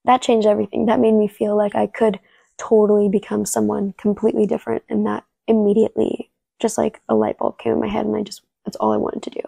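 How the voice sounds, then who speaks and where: A young woman, a native Spanish speaker talking in English, telling stories from her acting work in a relaxed interview with many restarts. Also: medium pitch, quick pace, gravelly, husky, no room sound. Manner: storytelling, relaxed, frequent "like" and restarts. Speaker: actress, interview guest. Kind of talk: casual interview answers.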